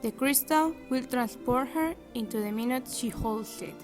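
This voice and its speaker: A young woman reads scripted storybook narration. Her pitch is somewhat high, and soft music sits behind the voice. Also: moderate pace.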